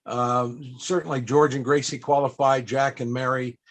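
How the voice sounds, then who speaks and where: A man's voice: mid-range voice, level tone, normal speed. A man hosting a panel as interviewer, speaking conversationally and telling stories.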